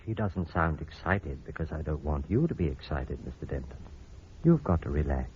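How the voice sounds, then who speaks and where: Casting: authoritative doctor and spurned suitor, vintage radio play, very low voice, muffled hissy recording. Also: male voice, expressive, normal speed. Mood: professional, soothing. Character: bitter, controlled eye surgeon, a spurned former suitor. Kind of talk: old-time radio drama acting.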